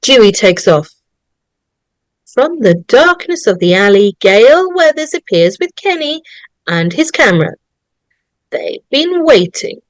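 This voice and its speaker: A woman reading scripted stage directions as narrator, deliberate and storytelling. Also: a little high, sing-song, dry recording, rough recording.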